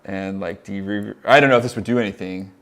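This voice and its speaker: A young man with a Californian accent, casually demonstrating audio software on a live stream, rambling excitedly with lots of "like" and laughs. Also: fairly low pitch, fast talker. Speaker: audio mentor.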